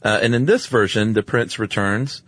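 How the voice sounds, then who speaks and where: Man, podcast co-host, fairly low pitch, droll, offhand, conversational storytelling, dry studio-close sound. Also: moderate pace, a bit bright, no room sound. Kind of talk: casual two-person chat, retelling stories.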